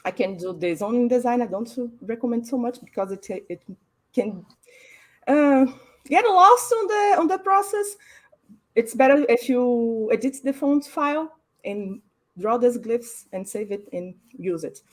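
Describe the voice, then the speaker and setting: A woman, a graphic designer explaining her work on a panel, thoughtful and pausing to find her words. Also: on the high side, animated. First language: Portuguese. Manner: thoughtful, pauses to search for words. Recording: online panel stream.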